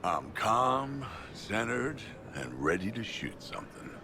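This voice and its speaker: An actor playing a calm, disciplined older military mercenary in a video game, speaking in a measured, matter-of-fact way. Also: male voice, fairly low pitch, gravelly, echoey room, noisy background, full character voice. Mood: composed, ready. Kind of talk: video game character dialogue.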